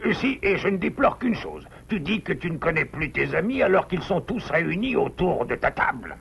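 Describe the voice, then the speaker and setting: A raspy gravelly cartoon elder. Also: male voice, on the high side, big pitch swings, exaggerated voice. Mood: advising. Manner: gently lecturing, measured. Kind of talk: French cartoon dubbing.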